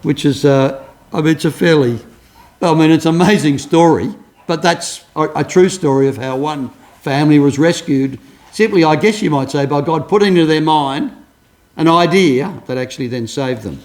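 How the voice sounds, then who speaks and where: A man's voice: on the high side, moderate pace, a little mellow. An older man preaching a sermon as pastor, telling stories earnestly with frequent restarts. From Australia. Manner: earnest storytelling, many restarts.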